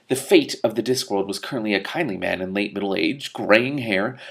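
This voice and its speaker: A man's voice: lowish voice, vocal fry. A man reading a fantasy novel aloud expressively, with casual asides of his own. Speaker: reader and commentator.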